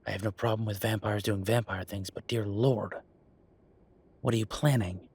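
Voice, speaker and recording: A man playing an exasperated, firm werewolf bureaucrat in an audio roleplay, talking emphatically in a dry, husky voice. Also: on the low side, fairly even intonation, normal speed, a little mellow. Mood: incredulous, appalled. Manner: exasperated, emphatic, repeating himself. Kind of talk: audio roleplay acting, one side of a phone call.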